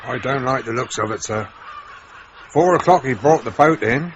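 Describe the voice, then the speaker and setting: An actor playing a plain-spoken, folksy village police sergeant in an old radio play. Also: male voice, medium pitch, moderate pace, lightly acted. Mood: wary. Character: chatty male country police sergeant. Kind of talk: 1950s-style radio drama acting. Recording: dull vintage radio recording, faint hiss.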